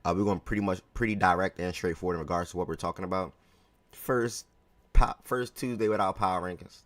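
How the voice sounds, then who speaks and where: A man with a very low voice hosts a live sports talk stream from home. He rambles in a relaxed, chatty way and greets viewers by name, his voice a touch hoarse. The sound is close and dry on a desk mic. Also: a little fast, dry recording. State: slightly hoarse from yelling the day before. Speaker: live show host.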